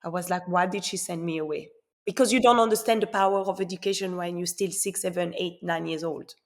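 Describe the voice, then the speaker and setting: A woman's voice: on the low side, steady tone, a little fast. A woman born in Congo and raised in Belgium and Switzerland, answering interview questions earnestly and often repeating words as she searches for the next one. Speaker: guest.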